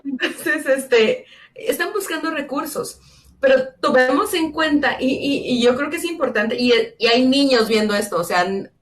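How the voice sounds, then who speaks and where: A woman who grew up in El Salvador, co-hosting a casual political chat with sarcastic jokes and quick restarts. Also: sits high. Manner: sarcastic, jokey, fast-talking with restarts. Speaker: co-host.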